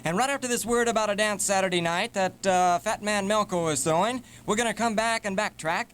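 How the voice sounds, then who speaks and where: A man's voice: high-pitched, fairly quick, a bit bright, a little hiss or hum. An American man working as a radio DJ and news reader, speaking in 1960s AM radio style on an old tape recording. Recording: 1968 AM radio tape, music behind. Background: music.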